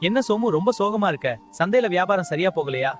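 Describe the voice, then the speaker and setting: High-pitched village man played by a male actor, earnest and plain-spoken, for animated moral-story dialogue. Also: fast, music in the background. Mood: persuasive.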